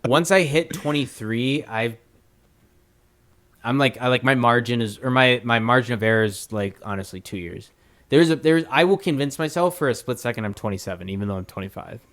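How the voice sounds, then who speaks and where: A man's voice: mid-range voice, a little fast, a bit bright. A man in his twenties from the United States, a guest in a casual podcast chat, easygoing and profane, laughing easily. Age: 20s.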